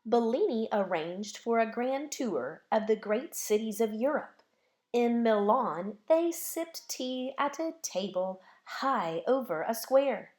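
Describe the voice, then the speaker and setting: A woman reading a children's picture book aloud with expressive, measured phrasing. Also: a little high. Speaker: storybook reader.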